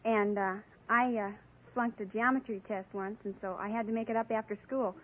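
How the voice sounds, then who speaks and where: A dull vintage radio recording with a faint audience. A young American woman contestant chats in a husky voice that sits a little high. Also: steady tone, fairly quick. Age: young adult. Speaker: quiz show contestant. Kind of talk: chatty anecdote on a quiz show. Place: radio studio with live audience.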